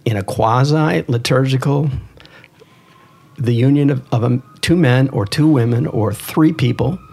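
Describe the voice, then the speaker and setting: A man with a mid-pitched voice that has a slightly husky edge. He talks as a priest co-hosting a religious podcast, in earnest discussion. He presses his points emphatically and repeats key phrases. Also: unhurried, darkish tone.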